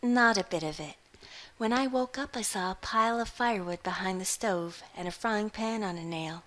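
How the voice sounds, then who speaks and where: A woman doing a brisk practical young woman. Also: mid-range voice, a bit bright, close to an everyday voice, rough recording. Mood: matter-of-fact. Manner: brisk, matter-of-fact.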